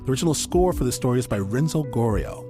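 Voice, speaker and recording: Animated male podcast host over music. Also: mid-range voice, fast. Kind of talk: scripted credits and introduction.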